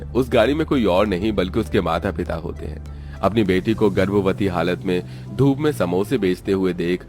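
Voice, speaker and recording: Low-voiced male storyteller over music. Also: a bit bright. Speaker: storyteller narrator. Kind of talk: scripted story narration. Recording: music under the voice. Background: background music.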